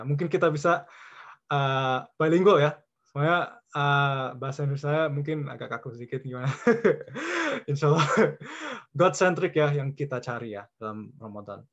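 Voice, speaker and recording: A man from California giving an informal Islamic lecture as a guest speaker, mixing in English words and pausing often mid-sentence in short phrases. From California, United States. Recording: dull, muffled low-fidelity audio.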